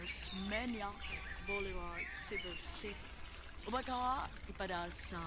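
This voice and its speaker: A woman hosts a radio drama in scripted announcer style. Her Cebuano-accented delivery is slow and mid-pitched. The broadcast recording carries heavy noise. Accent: Cebuano Filipino.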